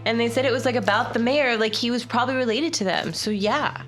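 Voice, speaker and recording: A woman with a somewhat high voice banters casually as a player in a fantasy tabletop game. Light music runs behind her playful talk. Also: fast.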